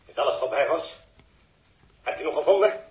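A man's voice: mid-range voice, expressive, normal speed, a little mellow, natural voice. A man acting a stern, agitated flight controller and father in 1960s radio drama, urgent and emotional, in an echoey old recording. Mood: commanding, impatient.

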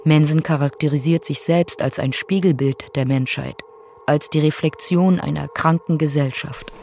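A man delivers sober scripted narration for a radio documentary drama. His voice sits somewhat high, and the delivery is measured and factual, with faint noise in the background. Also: fairly even intonation, creaky, darkish tone. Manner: measured, matter-of-fact.

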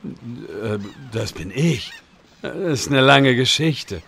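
A man playing a bumbling, sheepish old wizard with a husky, gravelly voice in a comedy audio drama. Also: medium pitch, lively intonation, slow, fairly bright tone, a little hiss or hum, exaggerated voice. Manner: hesitant, sheepish. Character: bumbling, embarrassed old wizard reading a letter aloud.

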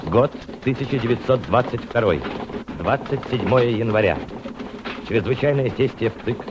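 A man with a medium-pitched voice announces formally in a radio drama. The old recording is muffled, with very loud noise behind him. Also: normal speed.

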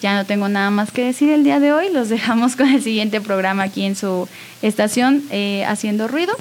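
A woman's voice: mid-range voice, fairly quick, a little mellow. A woman hosting a radio show in an earnest, encouraging, conversational way, with small giggly asides. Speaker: radio host. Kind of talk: warm conversational radio sign-off.

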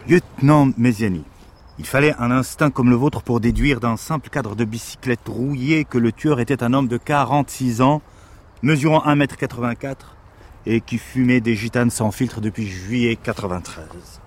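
An actor playing a playful, jittery police sidekick in a French audio drama, chatty and mock-theatrical, with a French accent. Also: male voice, mid-range voice, moderate pace, natural voice. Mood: pompous, playful. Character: jokey, excitable, jittery male police sidekick. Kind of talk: naturalistic audio drama acting.

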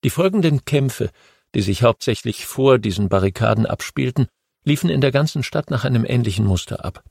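A man narrating a history audiobook in a measured, steady storytelling manner. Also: mid-range voice, gravelly, husky, no echo, studio quality.

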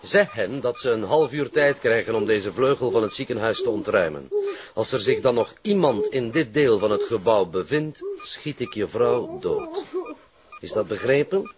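Menacing Flemish criminal mastermind, wildly swinging delivery. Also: male voice, lowish voice, sing-song, normal speed, a crowd in the background. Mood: cold, threatening. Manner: menacing, taunting, commanding. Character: vengeful, power-hungry criminal mastermind holding a hostage. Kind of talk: TV crime drama acting. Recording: muffled, noisy TV drama rip.

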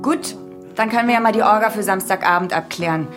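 An actress playing a practical activist organiser in a radio drama, speaking in a matter-of-fact way. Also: female voice, mid-range voice, fast talker, close to an everyday voice. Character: practical female activist organiser in an art group. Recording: music under the dialogue.

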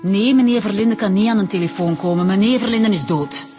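A woman's voice: mid-range voice, fast talker, lightly acted. A woman playing a chatty, flustered, loyal receptionist in a radio drama, with a Flemish accent. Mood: flustered, defensive. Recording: music behind the voice.

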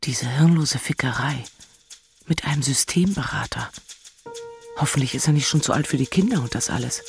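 A woman's voice: extremely low pitch, normal speed, faint music behind. An actress playing an agitated, self-reproaching woman in her forties in a radio drama inner monologue. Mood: agitated, despairing. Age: 40s. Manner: brooding, exasperated.

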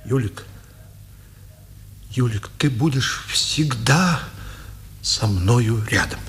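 A man's voice: medium pitch, expressive, extremely slow, fairly bright tone. An actor playing a wise, sorrowful, fatherly old prisoner, tender and reflective, in a 1950s Soviet radio drama. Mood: tender farewell.

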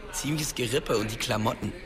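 An actor playing a cheeky, glib young prankster in a radio drama, with a slightly husky voice. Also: male voice, medium pitch, a little slow, a bit bright, lightly acted. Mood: teasing. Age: young adult. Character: cheeky young prankster, narrator's small-town best buddy.

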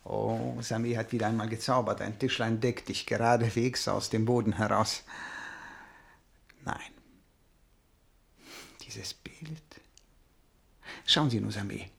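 An actor playing a smooth, cultivated schemer and art connoisseur in a radio drama, phrasing his words with insinuation. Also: lowish voice, steady tone, measured pace, pauses often, exaggerated voice. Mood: suave. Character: smooth scheming Italian envoy, art connoisseur, coldly plotting. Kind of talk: German radio drama acting.

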